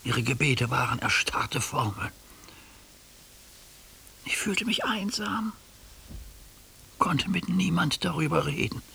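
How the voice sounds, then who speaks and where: An actor in a German radio play playing an anguished ageing judge who speaks for his neglected heart in a halting, hushed confession. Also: a little high, big pitch swings, gravelly, full character voice. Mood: bitter, sorrowful. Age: older. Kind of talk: German radio play acting, intimate monologue. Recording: hissy radio play recording.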